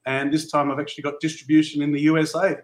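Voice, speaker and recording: A man's voice: a little high, little pitch movement, quick pace. A man from Australia, an upbeat author being interviewed as a podcast guest. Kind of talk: casual interview chat.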